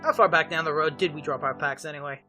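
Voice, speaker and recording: A woman's voice: low-pitched, fast, sharp tone, soft background music. A woman playing a wry young male tactician, casual and sarcastic, in a fantasy audio drama. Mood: casual, uneasy.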